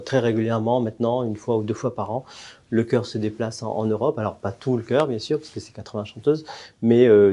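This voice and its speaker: Middle-aged man, choir director, dark low voice, many pauses and restarts. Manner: hesitant, frequent fillers and restarts. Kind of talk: interview answers.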